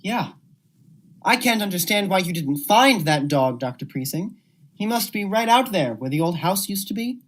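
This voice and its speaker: Woman playing Harvey, an agitated, animated accuser. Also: lowish voice, moderate pace. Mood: subdued. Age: young adult. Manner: dramatic, accusatory. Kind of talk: 1940s radio thriller acting.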